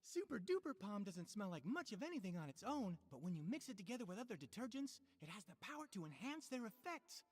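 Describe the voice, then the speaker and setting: Animated, proud, earnest game character. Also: female voice, mid-range voice, fast talker, few pauses, breathy edge. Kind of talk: video game voice acting.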